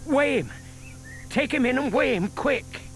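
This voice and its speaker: An actor playing a shy, kindly older British gentleman with a secret scheme, polite and given to muttering, in an audio drama. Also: very high voice, lively intonation, a little slow, faint music behind. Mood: scheming. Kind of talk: audio theatre acting. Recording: faint noise, 1990s tape dramatisation.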